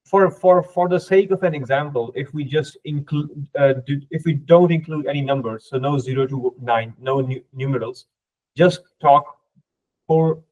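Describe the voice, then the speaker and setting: A man's voice: on the high side, moderate pace, darkish tone, lo-fi sound. A man whose native language is Urdu, informally explaining a technical subject as a podcast guest in a didactic way, often checking in with the listener.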